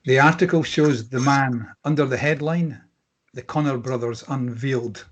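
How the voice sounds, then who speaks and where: A man's voice: medium pitch, normal speed. A man reading stage directions as scripted narration at a screenplay table read.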